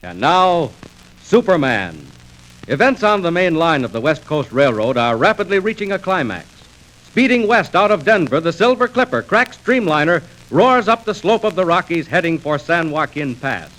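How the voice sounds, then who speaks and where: A man's voice: on the high side, big pitch swings, normal speed, fairly bright tone, poor audio. A man narrating a radio serial in an urgent, theatrical 1940s style. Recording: old radio record with hiss.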